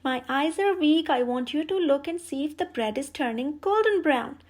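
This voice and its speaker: A woman's voice: high voice, animated, normal speed, voice pushed up. A woman voicing a wicked old storybook witch, sly and bossy, with a commanding, menacing manner. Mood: bossy, scheming. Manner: commanding, scolding, falsely sweet when coaxing.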